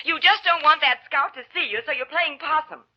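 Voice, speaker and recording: An actress playing a fed-up, sarcastic, scolding ballplayer's wife in an old American radio drama, with an American accent. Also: female voice, sits high, brisk, natural voice. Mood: angry scolding. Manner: sharp, nagging, sarcastic. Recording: dull vintage radio broadcast.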